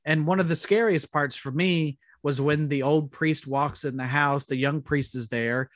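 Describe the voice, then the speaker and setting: A man co-hosting a casual podcast, chatting and reading out trivia in a halting way. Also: on the high side, fairly even intonation, no echo, studio quality. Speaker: podcast co-host.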